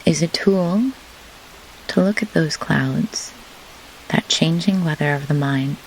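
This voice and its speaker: A woman leading a guided meditation in measured, deliberate phrases with pauses, as a host who works as a grief doula. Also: low-pitched, poor audio. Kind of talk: slow guided meditation instruction. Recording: clear pink-noise rain hiss behind. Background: pink noise like steady rain.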